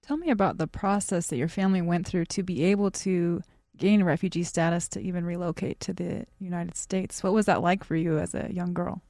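A woman's voice: on the low side, fairly even intonation, brisk, mellow, dry recording, studio quality. A woman hosting an interview, asking open questions in a conversational way. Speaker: interviewer, host.

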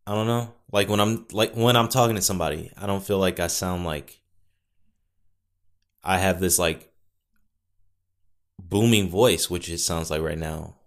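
A man's voice: deep voice, steady tone, measured pace, pauses often. A young man hosting his own podcast, chatting casually into a mic with rambling, self-correcting asides and wry jokes. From Northwest Indiana. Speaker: podcast host. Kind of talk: casual solo podcast chat. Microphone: studio podcast mic.

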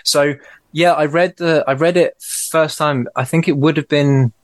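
A man's voice: fairly high pitch, fairly even intonation, measured pace, no room sound. A man speaking as a podcast guest over a remote call, thoughtful and hesitant, often restarting his sentences. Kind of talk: casual podcast conversation.

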